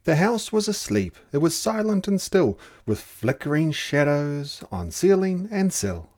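A storyteller reading a rhyming children's picture book aloud in an expressive, theatrical way. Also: medium pitch, a little slow. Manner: theatrical, dramatic delivery.

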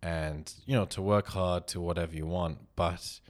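A man's voice: sits low, fairly even intonation, normal speed. A man of thirty, co-hosting a podcast in loose, rambling chat, often restarting sentences and laughing. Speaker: podcast co-host. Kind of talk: casual chat. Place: London.

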